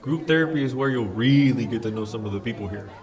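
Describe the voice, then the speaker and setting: An actor playing a friendly, talkative special ops veteran with a jovial, informal manner in an audio drama. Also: male voice, mid-range voice, quick pace, a little mellow, natural voice.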